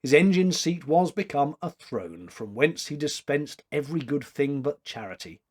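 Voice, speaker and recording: A man in his fifties reads literary fiction aloud in a measured, expressive storytelling way. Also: fairly high pitch. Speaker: amateur story reader. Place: small domestic room, indoors.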